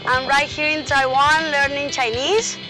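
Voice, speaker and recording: A woman from Colombia, a native Spanish speaker and master's student, speaking in a lively, upbeat way. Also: sits high, unhurried, low-quality recording. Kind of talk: interview and travel narration. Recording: music bed behind voice.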